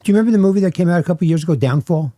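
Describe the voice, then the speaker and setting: A man hosting a podcast asks question after question in a warm, relaxed voice that sits fairly high for a man. The mic sounds dry and close, with no room echo at all. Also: very fast. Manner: curious, asks many questions. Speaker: podcast host. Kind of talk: casual podcast chat.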